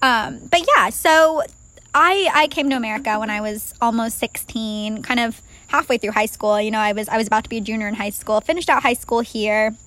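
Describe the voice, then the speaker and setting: A woman in her twenties, raised in Ireland with a faint Irish accent, co-hosting a casual podcast chat in a chatty, quick way. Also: on the high side, fairly bright tone, poor audio. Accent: slight Irish. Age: 20s. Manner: chatty, laughs easily, quick asides. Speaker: podcast co-host.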